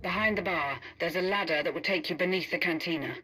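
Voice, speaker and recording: An actor voicing a businesslike operative in a video game, giving brisk instructions as a remote guide. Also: male voice, sits high, bright, forceful tone, a little hiss or hum, rough recording. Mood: focused, instructive. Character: businesslike male operative guiding an agent remotely.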